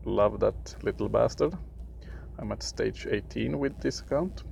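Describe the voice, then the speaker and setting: Swedish guy talking through a game, super gravelly voice, halting and hunting for words, faint hiss behind. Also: on the low side, a little mellow. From Sweden. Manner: halting, searching for words, frequent fillers. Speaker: gaming video creator. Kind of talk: casual gameplay commentary.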